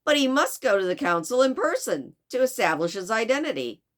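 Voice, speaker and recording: A woman voicing a brisk, officious male storybook detective with an attempted Chicago accent, clipped and insistent. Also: fairly high pitch, big pitch swings, voice pushed up.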